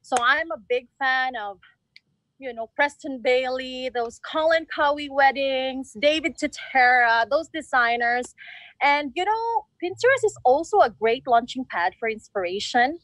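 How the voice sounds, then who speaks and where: A woman's voice: high-pitched, expressive, unhurried, a bit bright, no echo. A woman chatting casually as an interview guest, explaining her decorating work in a conversational way. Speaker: guest event decorator and designer.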